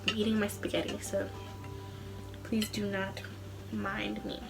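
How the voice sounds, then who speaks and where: Young adult woman, casual vlog storytelling, chatty, rambling, informal, mid-pitched, clear background music. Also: big pitch swings, a little slow. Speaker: vlogger, student singer.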